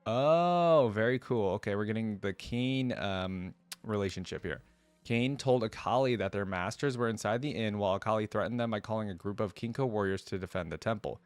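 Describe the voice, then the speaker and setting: A man hosting a reaction video, reading aloud and breaking in with casual, curious commentary as he thinks out loud. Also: lowish voice, brisk, clear, resonant tone, no echo. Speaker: host of a lore reaction video.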